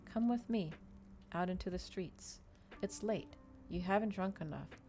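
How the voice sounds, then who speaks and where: A woman's voice: on the low side, a little slow, mellow, a little hiss or hum, lightly acted. A woman voicing a composed, coaxing male vampire in a tender, mannered ASMR storybook reading.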